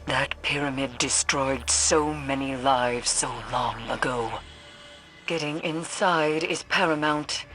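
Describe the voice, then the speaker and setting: A woman playing a solemn, foreboding mentor in a video game cutscene, speaking gravely and with measured weight. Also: sits low, fairly bright tone, slight background noise.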